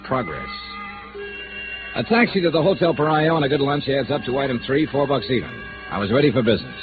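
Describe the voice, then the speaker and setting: An actor playing a wry, easygoing, wisecracking insurance investigator in a vintage radio noir drama. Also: male voice, mid-range voice, brisk, natural voice. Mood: wry narrating. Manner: wry, flippant, breezy. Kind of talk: 1950s radio noir acting and narration. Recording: tinny vintage radio recording with music.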